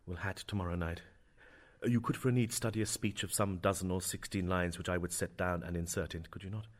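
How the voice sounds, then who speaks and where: A man playing a brooding, grieving young prince in a Shakespearean drama, with a theatrical, emphatic delivery that moves between hushed and declaiming. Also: low-pitched, fairly even intonation, brisk, natural voice. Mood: courteous, commanding.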